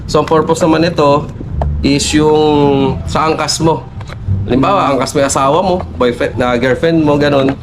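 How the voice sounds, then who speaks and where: A man's voice: on the high side, steady tone, normal speed, background noise. A Filipino man working as a shop salesperson, explaining products in a polite, conversational way and mixing in English terms.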